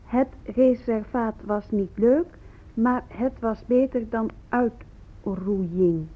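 Slow, high-voiced pupil reciting. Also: female voice. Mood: naive, halting. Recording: muffled, narrow radio play recording.

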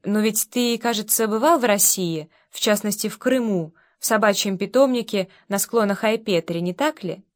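A woman's voice: medium pitch, normal speed, hardly any pauses, natural voice. A woman voicing a shy, polite young Briton. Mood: flustered. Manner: hesitant, deferential.

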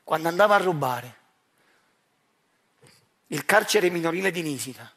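Neapolitan guy doing a stage monologue, kinda husky, a bit high for a man, talks right at the crowd. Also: fairly bright tone. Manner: addresses the audience directly, measured and intense. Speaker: stage actor. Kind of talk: theatrical monologue.

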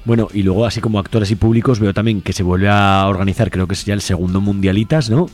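Man, deepish voice, informal radio hosting, frequent question tags. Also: fairly even intonation, quick pace, darkish tone. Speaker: radio show host. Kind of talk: casual radio interview.

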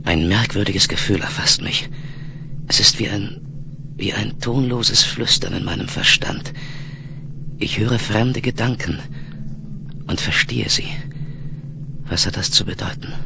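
Halting, entranced space pilot. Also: male voice, on the low side, gravelly, background noise. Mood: dazed, drowsy. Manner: halting, trance-like narration with repeated words. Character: dazed space glider pilot, anxious, slipping under alien control. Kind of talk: 1970s radio drama acting.

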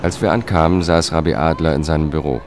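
A sober, observant investigator narrates a radio drama in a low voice. He is measured and matter-of-fact, over clearly audible music. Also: steady tone. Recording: music bed under the voice.

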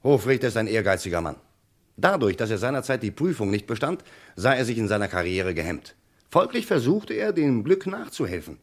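A man's voice: fairly low pitch, very animated, a little fast. A man playing an insistent, methodical police commissioner in a German radio crime play, speaking precisely and emphatically. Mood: insistent, assured.

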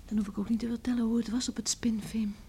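A young woman acting a spirited, secretive village girl in a Dutch radio drama, with breathy exclamations. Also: fairly high pitch, level tone, fast, mellow, close to an everyday voice. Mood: secretive, musing. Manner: breathy exclamations, murmuring to herself, protesting. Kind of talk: Dutch radio drama acting, murmured monologue and heated dialogue. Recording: radio play recording with faint hiss.

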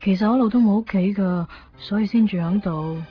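香港口音女聲演員，隨和又純真. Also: female voice, mid-range voice, a little fast, natural voice. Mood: unfazed, resigned. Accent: Hong Kong. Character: easygoing, innocent homeless young woman, matter-of-fact. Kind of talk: radio drama acting. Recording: dull 1990s radio drama recording. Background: faint background music.

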